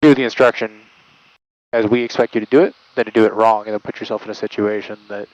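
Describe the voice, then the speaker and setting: Male air traffic controller, brisk explainer with aviation jargon, somewhat low pitch, rapid delivery. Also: steady tone, darkish tone, no room sound, lo-fi sound. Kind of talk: explanatory interview answers.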